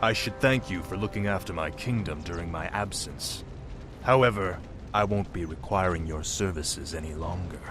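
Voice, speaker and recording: A man's voice: sits low, normal speed, close to an everyday voice. An actor playing a cold, arrogant young undead warrior king in a video game cutscene, commanding and menacing in formal speech. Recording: music behind the voice.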